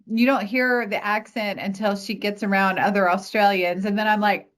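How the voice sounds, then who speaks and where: A woman's voice: mid-range voice, steady tone, normal speed. A woman hosting an interview in an upbeat, chatty manner, laughing often. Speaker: podcast host.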